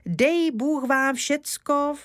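A woman voicing a lively, cheeky little village boy in an eager, childlike way. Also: high voice, dry recording, studio quality, voice pushed up, natural voice.

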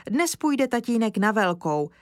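A caring village mother read by a woman gives gentle but firm instructions. Her voice stays near an everyday tone, close and dry, as in a storybook reading. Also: a little high, big pitch swings, no room sound, pitched above the performer's own voice. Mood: kindly firm. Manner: kindly instructing.

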